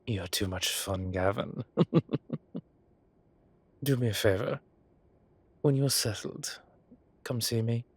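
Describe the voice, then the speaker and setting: A man's voice: on the low side, slow, long pauses, slightly rough, no echo, heavily acted. A man playing a flamboyant, mischievous male boss in a roleplay audio drama, with an arch, playful, theatrical way of talking. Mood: amused.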